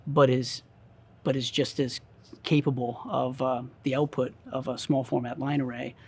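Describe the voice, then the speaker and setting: A man talking as a product expert in an interview, explaining things in measured, deliberate phrases. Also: mid-range voice, gravelly, a little mellow. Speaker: audio company product expert.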